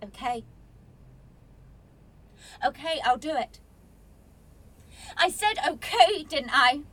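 A woman's voice: high voice, expressive, slow pace, a bit bright, full character voice. An actress playing a bitter, defensive young woman in a radio play, sarcastic and combative. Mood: exasperated, sarcastic.

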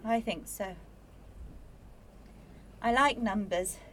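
A woman's voice: mid-range voice, takes it slowly, a bit bright, a little hiss or hum. An actress in a radio play playing a bitter, defiant teenage student, sarcastic and guarded in her manner.

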